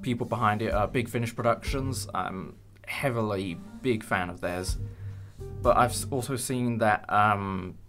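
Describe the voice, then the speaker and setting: A young man chatting casually in an interview, hesitant and rambling with many pauses. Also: lowish voice, fairly bright tone. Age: young adult. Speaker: creator of a fan audio drama. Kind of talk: casual behind-the-scenes interview. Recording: light music bed under speech. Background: background music with guitar.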